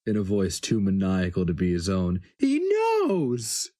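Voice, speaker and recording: A man giving a solemn, deliberate, dramatic reading of a fantasy story as its narrator. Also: low voice, little pitch movement, dark tone.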